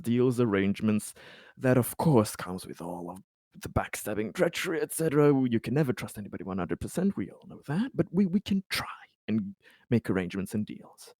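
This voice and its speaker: A man playing a guarded, diplomatic corporate colony administrator in a roleplaying game, speaking haltingly and deliberately. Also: on the low side, darkish tone, no echo, clean studio sound. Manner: halting, deliberate, hedging with pauses. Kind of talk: improvised in-character roleplay dialogue.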